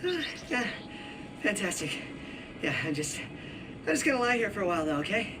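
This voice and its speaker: A man's voice: high voice, very animated, normal speed, heavy background noise. A young man voicing an earnest, loyal and sometimes wry alien hero in a video game. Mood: subdued. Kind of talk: video game cutscene acting.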